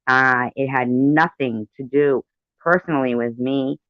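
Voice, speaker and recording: A woman's voice: very deep voice, fairly even intonation, unhurried, dry recording. A middle-aged woman giving indignant, emphatic personal commentary, often repeating her phrases. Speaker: commentator reacting to played audio. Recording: dull, lo-fi recording.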